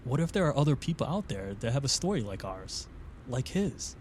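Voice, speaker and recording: A man's voice: mid-range voice, sing-song, fairly quick, a little mellow, slight background noise, lightly acted. An actor playing a skeptical, uneasy and blunt man in a naturalistic audio drama. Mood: uneasy, conceding. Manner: blunt, questioning.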